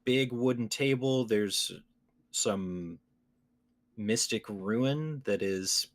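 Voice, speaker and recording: A man's voice: lowish voice, drawn-out words. A man talking casually about video games as a podcast co-host.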